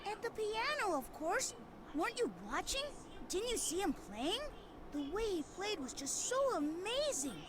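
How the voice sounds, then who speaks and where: An actress playing an eager, gushing young kid in a dubbed fantasy video game. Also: female voice, very high voice, very animated, normal speed, background noise. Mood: amazed. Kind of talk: English anime-style video game dub.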